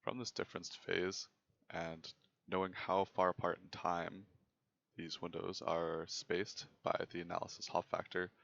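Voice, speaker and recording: Low, gravelly young man presenting, dull recording. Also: fairly bright tone. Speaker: presenter of a student software project. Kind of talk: scripted technical narration.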